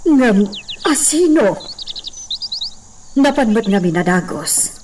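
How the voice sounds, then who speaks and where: A woman's voice: fairly high pitch, sing-song, takes it slowly, a little mellow. A woman playing a well-off señora and mother in a radio drama, used to giving orders to her servant. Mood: puzzled. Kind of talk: Ilocano radio drama acting. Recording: distant, noisy track.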